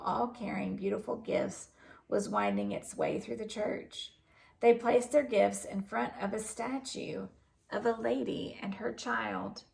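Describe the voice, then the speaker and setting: Female storybook narrator, very gravelly fry, unhurried and expressive delivery. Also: on the high side, low-quality recording. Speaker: storybook reader. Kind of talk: scripted narration, children's story read aloud.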